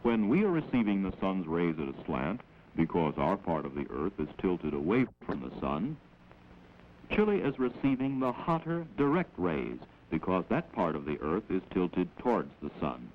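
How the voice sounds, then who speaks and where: Male narrator, American, for a 1940s classroom film: lowish voice, explanatory delivery, dull vintage soundtrack with faint noise. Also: big pitch swings. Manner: measured, explanatory, instructive. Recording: dull, noisy old 16mm film soundtrack.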